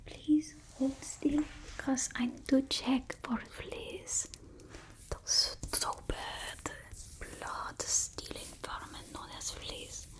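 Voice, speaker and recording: A woman voicing a haughty, fussy vampire mistress in a breathy ASMR roleplay, with an imperious, complaining manner. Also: sits high, fairly even intonation. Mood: fussy, annoyed. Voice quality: soft, breathy whisper. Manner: slow, imperious, fussy complaining with drawn-out words. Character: haughty, fussy female vampire mistress scolding her human familiar. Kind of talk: ASMR roleplay, mostly whispered.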